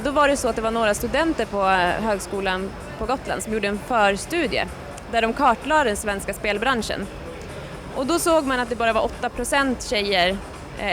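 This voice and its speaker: Matter-of-fact woman over music. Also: medium pitch. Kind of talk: interview.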